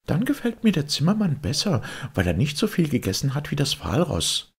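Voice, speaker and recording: Guy doing a little storybook girl, earnest n indignant, high quick voice. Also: wildly swooping pitch, gravelly, slightly rough, full character voice.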